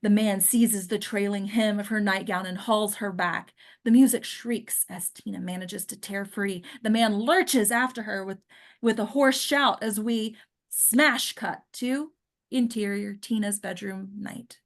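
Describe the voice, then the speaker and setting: A woman reading stage directions at a script table read as deliberate, descriptive dramatic narration. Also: medium pitch.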